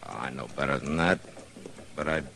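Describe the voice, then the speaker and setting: An American man playing a gruff, steady middle-aged western marshal in a radio drama, talking in a dry, wry way. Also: very deep voice, moderate pace, heavily acted. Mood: measured, calm. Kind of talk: old-time radio western acting. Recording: noisy vintage radio recording.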